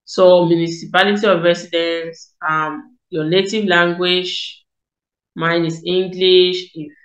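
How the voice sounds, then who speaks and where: A woman's voice: fairly low pitch, flat delivery, slow, muffled recording. A young woman from Cameroon walking viewers through an online application form, explaining each step with repeats and fillers. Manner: explanatory, repeats key points, many fillers. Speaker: education consultant, tutorial host. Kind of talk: screen-recorded tutorial walkthrough. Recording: echoey room.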